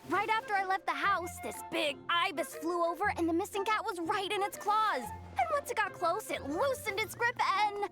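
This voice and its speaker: A very high, animated young female underling from a video game, eager to please and easily rattled. She stammers nervously and swings freely in pitch, with clear music behind her. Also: normal speed, few pauses. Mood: excited, chatty.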